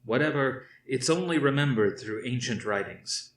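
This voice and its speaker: A man reading aloud, voicing a stern older fleet commander who speaks slowly and firmly to his officers. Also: medium pitch, expressive, echoey room, far from the mic, pitched above the performer's own voice, close to an everyday voice. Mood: explaining. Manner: slow, measured, commanding.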